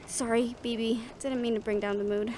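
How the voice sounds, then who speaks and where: A fairly high female voice over faint vehicle ambience, a woman acting as a supportive, excitable young companion in a fantasy adventure. She speaks expressively and conversationally, in audio roleplay style. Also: moderate pace, background noise, close to an everyday voice. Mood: wry.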